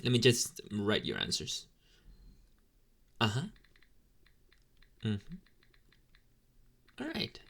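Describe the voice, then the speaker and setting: A man playing an inexperienced, sleepy young demon in an ASMR roleplay, talking casually to the listener with halting, deadpan, mildly snarky asides. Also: lowish voice, gravelly, full character voice. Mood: distracted, businesslike.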